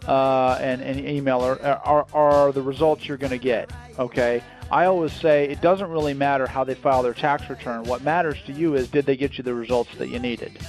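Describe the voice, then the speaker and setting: A man giving an emphatic, salesy pitch as a company founder on a promotional call, repeating phrases for effect. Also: darkish tone. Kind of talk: promotional interview on a conference call.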